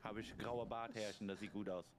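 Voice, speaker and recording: A man's voice: fairly low pitch, fairly even intonation, fairly quick, noisy background. A man in his thirties chatting over voice chat while playing a game, teasing his friend. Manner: laughing, teasing banter. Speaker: co-player. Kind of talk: casual gaming chat.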